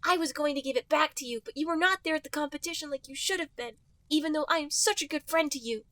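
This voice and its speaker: A high, close-sounding cartoon fox boy, acted out by a woman, earnest and wounded, speaks in long reproachful sentences. He is the aggrieved best friend in a comic story reading. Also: quick pace, bright, forceful tone, no echo. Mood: earnest, hurt.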